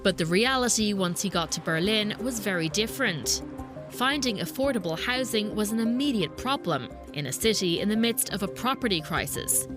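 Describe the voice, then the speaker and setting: A woman reporter narrating a business news documentary in a measured, explanatory way. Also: medium pitch, expressive. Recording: voice-over with music bed.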